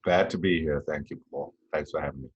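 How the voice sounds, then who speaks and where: A man in his fifties, originally from Tanzania, speaking as a podcast guest in a halting, reflective way with many fillers and restarts. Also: very deep voice, steady tone, breathy edge, lo-fi sound. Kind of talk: casual interview chat.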